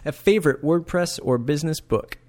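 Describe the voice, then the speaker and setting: A man hosting an interview, upbeat and asking quick questions. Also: a little high, a little mellow. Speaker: interview host.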